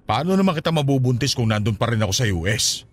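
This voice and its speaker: A man's voice: mid-range voice, sing-song, fast. A Filipino man acting a concerned, questioning, business-minded partner in a radio drama. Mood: caring, probing. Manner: questioning, solicitous.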